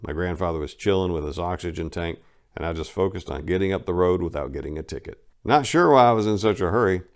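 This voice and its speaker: A low-voiced man reads his own life story aloud in the first person. He is blunt and wry, and now and then he jumps up in pitch and volume to punch a line. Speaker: narrator reading a memoir aloud. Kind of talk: first-person dramatic reading.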